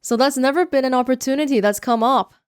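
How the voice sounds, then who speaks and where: Young canadian woman rambling through a q&a, self-mocking, smooth voice right on the mic. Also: high-pitched, dry recording, clean studio sound. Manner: chatty, self-mocking, quick asides. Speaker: YouTuber. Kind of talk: casual Q&A vlog. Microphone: close studio mic.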